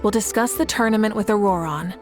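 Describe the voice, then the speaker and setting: A woman's voice: medium pitch, a little fast, faint music behind, lightly acted. A woman voicing a confident, composed young woman leader in a video game, speaking reassuringly. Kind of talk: video game character acting.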